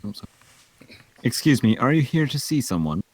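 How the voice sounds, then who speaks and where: A man's voice: mid-range voice, very animated, brisk, husky. A man reading the part of a nosy, overly polite fifty-something office woman in a script table read. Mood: prying.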